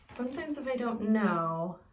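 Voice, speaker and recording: A woman reads a picture book aloud as a preschool teacher, simply and expressively, pausing to put questions to young listeners. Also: fairly high pitch, lively intonation, slight background noise. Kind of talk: read-aloud storytelling with questions to young children. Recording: echoey room, distant from the mic.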